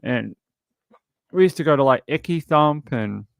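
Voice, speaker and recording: A man's voice: medium pitch, lively intonation, unhurried, vocal fry, no echo, studio quality. A man from Sydney with an Australian accent, hosting a livestream in casual, rambling chat with his viewers. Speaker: livestream show host.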